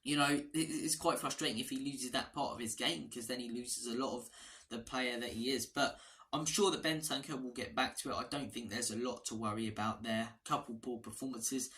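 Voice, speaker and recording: A hoarse man talks through a football preview in a loose, chatty monologue. His voice sounds bright and forceful. He wanders off topic and restarts phrases as he goes. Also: mid-range voice, steady tone, brisk. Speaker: fan channel host.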